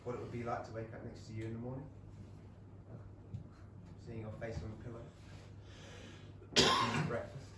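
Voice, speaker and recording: Amiable young Regency gentleman, played by a man: hesitant, romantic, medium pitch. Stage play reading, picked up from afar. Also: level tone, normal speed, long pauses, lightly acted. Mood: wistful, romantic. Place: small theatre stage. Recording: distant mic, echoey room, loud background noise.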